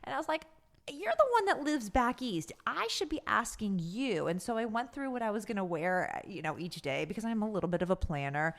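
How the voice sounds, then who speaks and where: A middle-aged woman co-hosting a podcast in casual chat, telling things in an animated, conversational way. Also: medium pitch, a little fast. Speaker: podcast co-host.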